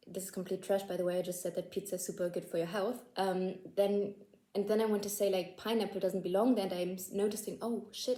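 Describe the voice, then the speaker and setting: Young female host, mellow voice, chatty and self-deprecating, echoey room. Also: mid-range voice. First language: German. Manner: chatty, laughs at herself, many "like" fillers. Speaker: language-learning video host. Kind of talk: casual talking-head tips video.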